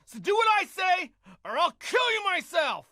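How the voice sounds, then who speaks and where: A man's voice: extremely high pitch, sing-song, moderate pace, bright, forceful tone, no echo, studio quality, exaggerated voice. An actor playing a hot-blooded infantry commander barking at his troops with drill-sergeant bluster in a military video game. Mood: aggressive, urgent.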